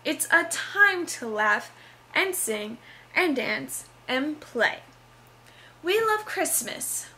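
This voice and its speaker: A woman's voice: high-pitched, very animated, very slow, bright, forceful tone, slight background noise, heavily acted. A young woman playing an earnest, over-dramatic narrator, declaiming verse from a Christmas stage show. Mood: grandly festive. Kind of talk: dramatic reading.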